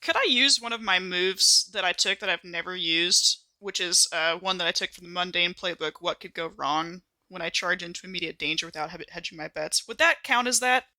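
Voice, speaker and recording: A woman's voice: on the low side, fairly quick, hardly any pauses, sharp tone, no room sound. A woman talking casually as a player in a tabletop game.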